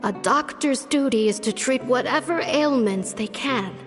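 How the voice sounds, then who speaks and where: A woman's voice: fairly high pitch, natural voice. A woman playing a weary, ailing old witch doctor in a video game, stubborn, resigned and self-mocking, with long reverb. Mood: resigned, grave. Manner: slow, sighing, resigned. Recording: long reverb over faint music.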